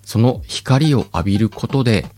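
A man hosting a podcast alone, chatting casually in a rambling, self-mocking way with drawn-out pauses. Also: medium pitch, expressive, a little mellow. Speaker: podcast host. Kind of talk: casual solo chat.

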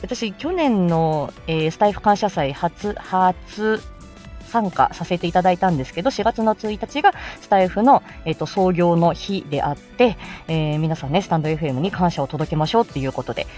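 A woman's voice: fairly low pitch, lively intonation, normal speed, a little mellow, faint music behind. A woman hosting a chatty morning livestream, speaking politely in a bubbly, thankful manner. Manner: polite, bubbly, effusively thankful, laughs often. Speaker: host of a live morning audio stream, voice actor. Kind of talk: chatty livestream talk to listeners.

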